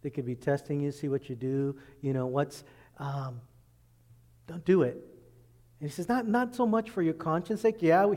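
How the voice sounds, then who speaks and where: A man preaching a sermon as pastor, working through scripture and acting out quoted speech in lively voices. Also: on the high side. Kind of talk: expository sermon. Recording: long reverb in a large hall.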